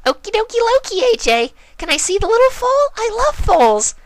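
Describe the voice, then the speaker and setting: A woman voicing an excitable young female cartoon pony with a bubbly, gushing manner. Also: very high-pitched, normal speed, dry recording, pitched above the performer's own voice. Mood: excited.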